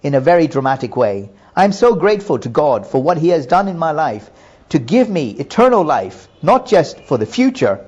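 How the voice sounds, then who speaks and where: A middle-aged man calmly telling his personal faith testimony in an earnest, measured way. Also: on the high side, slightly rough, lo-fi sound. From Hong Kong and Japan. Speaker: businessman giving testimony.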